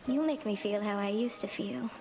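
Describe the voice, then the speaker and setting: A woman playing a small, gentle, wistful cursed weaver in a video game, speaking haltingly. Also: medium pitch, slight background noise, lightly acted. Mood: shy, tender. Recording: music behind the voice.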